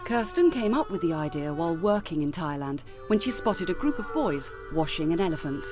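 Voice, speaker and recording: A woman's voice: fairly low pitch, very animated, a little fast, music in the background. A woman reading scripted narration for a news feature.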